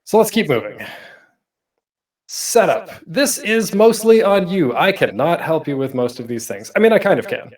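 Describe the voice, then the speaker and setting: Male panel host, very animated pitch swings, informal presenter style with self-deprecating asides. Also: moderate pace, recorded from a distance.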